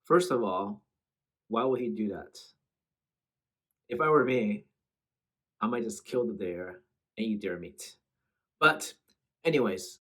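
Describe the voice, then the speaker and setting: A man's voice: fairly low pitch, lively intonation, slow, pauses often, fairly bright tone. A man hosting casual reaction commentary in a slangy, bantering way. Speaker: host.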